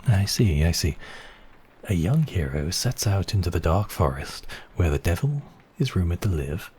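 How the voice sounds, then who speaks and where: A man playing a seductive, possessive vampire count in an ASMR roleplay, speaking slowly and teasingly in a husky, breathy voice with whispered phrases. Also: low-pitched, sing-song, darkish tone, slight background noise. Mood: amused, intrigued. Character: seductive, possessive male vampire count toying with his captive.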